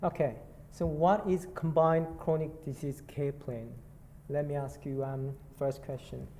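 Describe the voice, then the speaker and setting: A man's voice: on the high side, fairly even intonation, warm tone, a little hiss or hum. Korean-accented man presenting in an echoing hall. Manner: halting, self-deprecating, many fillers. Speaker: nurse presenter. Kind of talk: conference talk. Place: conference hall. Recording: reverberant hall, faint background ambience.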